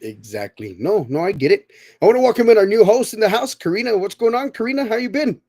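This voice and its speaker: A man's voice: sits high, lively intonation, fast talker, dark tone, no echo. Thirties male podcast host, loose rambling delivery with many restarts, dry recording. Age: 30s. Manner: rambling, repeats words often. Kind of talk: casual chat.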